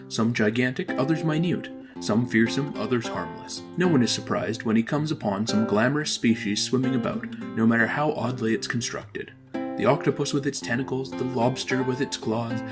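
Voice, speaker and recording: A man reading scripted educational narration in a measured, formal, explanatory manner. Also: mid-range voice.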